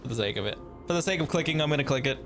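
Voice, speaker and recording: A streamer reacting casually to a game and reading its dialogue aloud, expressive, slangy and exclamatory. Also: mid-range voice, very fast. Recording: game music behind the voice.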